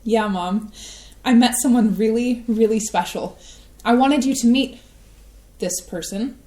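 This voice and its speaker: An actress playing an earnest young adult daughter, an artist, who speaks calmly and a little hesitantly in a staged play reading. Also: female voice, on the high side, measured pace, lightly acted. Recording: echoey stage.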